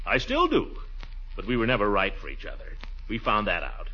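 A man's voice: fairly low pitch, huge pitch swings, normal speed, breathy edge, heavily acted. An actor playing a pompous, eager middle-aged bachelor in 1940s radio comedy, blustering and chuckling as he woos. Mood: earnest, wooing. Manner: blustery, chuckling, swooping delivery. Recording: dull 1940s radio recording.

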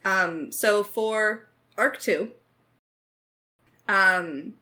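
A woman's voice: mid-range voice, extremely slow, pushed and bright. A woman co-hosting a podcast Q&A, talking in a chatty, informal, joking way. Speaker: podcast co-host and audio drama creator. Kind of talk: casual Q&A chat.

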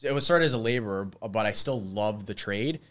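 A man's voice: fairly low pitch, animated, fairly quick. A man in his twenties, a guest mason, chatting casually with lots of fillers and frequent laughs. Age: 20s. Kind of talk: casual interview chat.

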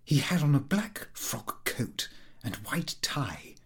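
A man's voice: mid-range voice, sing-song, slow pace, fairly bright tone, breathy edge. A man reading a comic diary as scripted narration, in a prim, fussy, precise manner. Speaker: audiobook reader.

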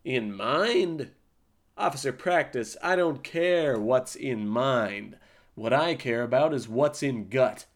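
A man doing a pompous blowhard captain. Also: medium pitch. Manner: pompous, boastful, slow and grandiose. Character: pompous blustering male army captain. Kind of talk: comedy audio drama.

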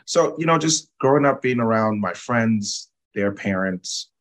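A man from Zambia, a personal injury attorney, explaining his work in a measured, patient way as an interview guest, often checking in with "right?". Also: on the low side, lively intonation, fairly bright tone. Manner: measured, explanatory, frequent "you know" and "right?".

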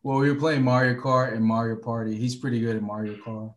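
A man's voice: mid-range voice, level tone, brisk. Swearing male guest in a roomy room. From Honduras, raised in Florida. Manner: storytelling, swears freely. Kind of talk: casual chat.

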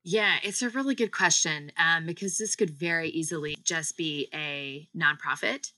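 A woman's voice: low voice, normal speed, pushed and bright, dry recording, low-quality recording. A woman from the US and Singapore, a founder giving explanatory, conversational answers as an interview guest. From United States and Singapore.

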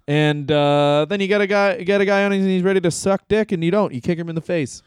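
Chatty man with a smooth, dry voice. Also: high-pitched, steady tone, fast, no room sound. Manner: rambling, heavy use of "like". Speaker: podcast co-host. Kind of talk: casual comedic chat.

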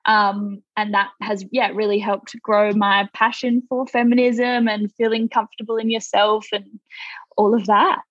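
A woman's voice: mid-range voice, little pitch movement, unhurried, a bit bright, dry recording, lo-fi sound. A woman in her twenties with an Australian accent, a podcast guest chatting casually with many fillers and restarts. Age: 20s. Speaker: guest, toy company founder.

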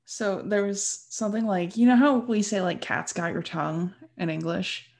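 A young American woman hosting a podcast, chatting in an animated, reflective way. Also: medium pitch, normal speed, gravelly. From United States. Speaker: podcast host. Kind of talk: casual podcast chat.

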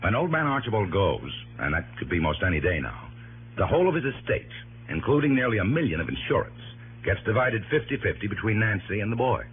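A man's voice: lowish voice, very animated, a little fast, breathy edge, narrow, tinny audio, exaggerated voice. An actor playing a worried, businesslike male insurance man in a 1960s radio drama. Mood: earnest, grave. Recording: thin, humming old radio recording.

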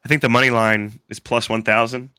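A man's voice: medium pitch, level tone, a little fast, pushed and bright, clean tone, no room sound. Congested man hosting a sports podcast. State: congested from allergies. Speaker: podcast host. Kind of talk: casual sports chat.

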